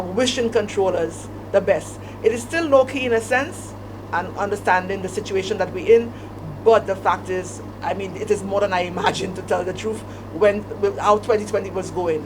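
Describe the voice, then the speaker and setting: A woman's voice: mid-range voice, background noise. A woman with a Saint Lucian accent, giving informal remarks as a panelist. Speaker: panelist, air traffic services manager.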